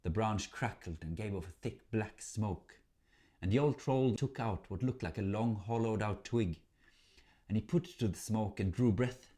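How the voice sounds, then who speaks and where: Male storyteller, lowish pitch, theatrical, measured, dramatic folk tale narration. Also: warm tone.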